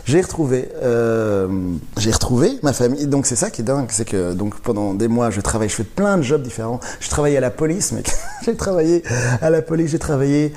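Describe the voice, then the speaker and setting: A man talks through his own story in a relaxed interview. His voice is soft and mellow, and his telling is lively, dotted with laughs and restarted sentences. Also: mid-range voice, brisk. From born in Rwanda, raised in Belgium. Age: 40s. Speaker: guest, comedian.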